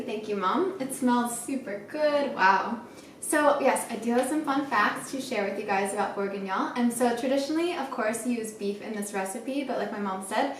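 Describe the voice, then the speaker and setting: A young woman with a slightly high voice shares information informally as a cooking show co-host. The sound is echoey and a little distant, as in a home kitchen. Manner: chatty, informative. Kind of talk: informal explanatory presentation. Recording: echoey kitchen, distant mic, faint noise.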